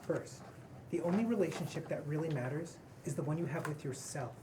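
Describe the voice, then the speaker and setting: A woman's voice: low-pitched, brisk, noisy background, lightly acted. A woman at a table read playing a theatrical, earnest man who leads a household with effusive ceremony. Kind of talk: table read acting of a pilot script.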